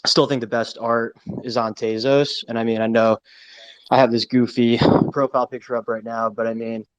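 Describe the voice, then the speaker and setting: A man's voice: mid-range voice, level tone, normal speed, poor audio. A man speaking off the cuff in a casual online discussion, hedging often with a conversational manner. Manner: hedging, conversational, heavy use of "I mean". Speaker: audience participant in a live audio discussion. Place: live online audio room.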